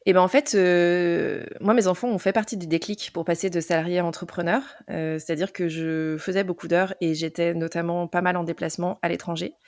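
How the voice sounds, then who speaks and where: A woman's voice: lowish voice, fairly even intonation, brisk, hardly any pauses, a little mellow, no echo, clean studio sound. A woman in her forties, an entrepreneur and podcast guest, talking through her story with many hesitations and fillers. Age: 40s. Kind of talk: casual interview chat.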